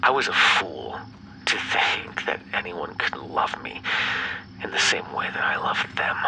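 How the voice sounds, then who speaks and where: Man acting a deep, whispery, menacing obsessive ex-lover. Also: heavily acted, rough recording. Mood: bitter, possessive. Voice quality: breathy, creaky half-whisper. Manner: slow, brooding, theatrically menacing. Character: obsessive, bitter yandere male vampire ex-lover, menacing captor. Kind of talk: ASMR roleplay acting.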